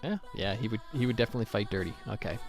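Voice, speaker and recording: A man's voice: fairly low pitch, racing, faint music behind. A man hosting a light-hearted quiz interview in a jokey, conversational way. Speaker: podcast host.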